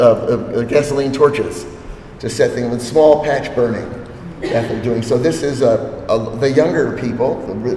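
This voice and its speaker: A man's voice: mid-range voice, darkish tone. Hesitant older man explaining in an echoey hall. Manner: hesitant, many ums, thoughtful. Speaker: anthropologist moderating a gallery talk. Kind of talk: explanatory talk to an audience. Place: gallery hall. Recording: echoey gallery hall, faint noise.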